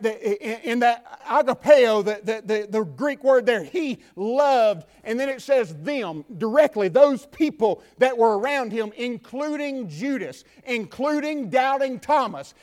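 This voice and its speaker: A man with a Southern US accent preaching a sermon from the pulpit, building to fervent shouts and dropping to quiet talk. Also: very high voice. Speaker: pastor. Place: church.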